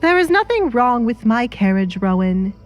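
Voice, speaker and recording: A woman's voice: fairly high pitch, very animated, normal speed, faint music behind, pitched above the performer's own voice. An anxious male baron from an audio drama, voiced by a woman. He sounds agitated and indignant, full of resentment.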